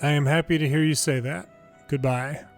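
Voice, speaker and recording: A man's voice: a little high, fairly even intonation, moderate pace. A man reading video game story dialogue aloud in the manner of an amateur longplay narrator. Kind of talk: reading game story text aloud, casual commentary asides. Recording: game music under the voice. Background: video game music.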